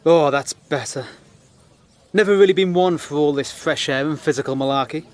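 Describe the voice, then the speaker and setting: An actor playing an educated British gentleman, dry, sceptical and pointed, in an audio drama. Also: a little high, normal speed, natural voice. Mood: dry, wry.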